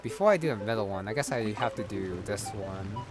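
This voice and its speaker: Low-key male gamer over loud music. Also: animated, a little fast. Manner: low-key, thinking aloud. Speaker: gamer, playthrough host. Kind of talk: casual game commentary. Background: loud game music.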